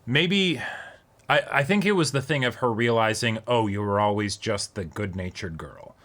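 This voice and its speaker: A man's voice: lowish voice, moderate pace, a bit bright. A man talking casually and unscripted as co-host of an anime reaction discussion. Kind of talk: casual chat, unscripted commentary.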